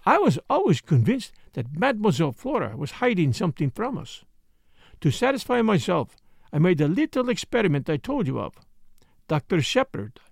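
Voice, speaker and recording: Man acting a dapper, shrewd foreign detective, lively high delivery. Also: gravelly, no echo, clean studio sound, full character voice. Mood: calm, assured. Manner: formal, precise, measured phrasing with French interjections.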